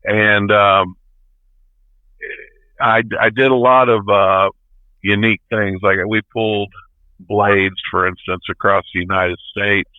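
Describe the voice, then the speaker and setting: A man's voice: on the low side, fairly even intonation, slow, pauses often, dry recording. A plain-spoken, folksy man in his fifties telling stories casually as a truck driver being interviewed. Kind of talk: casual storytelling. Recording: tinny phone-like line.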